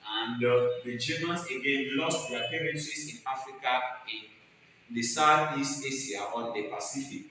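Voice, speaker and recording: Male lecturer, bright and forceful, explains step by step with emphatic repetition; heavily echoing, slightly noisy recording. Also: mid-range voice. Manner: emphatic, repeats key phrases. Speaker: history teacher. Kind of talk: exam lecture, explaining point by point. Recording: very echoey noisy hall, far from mic.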